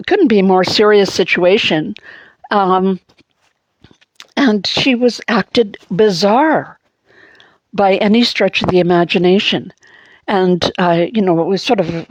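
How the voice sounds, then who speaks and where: A middle-aged woman with an Anglophone Montreal accent, giving a halting personal monologue full of fillers and restarts. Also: lowish voice, a little mellow, no echo. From Montreal, Canada. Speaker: vlogger.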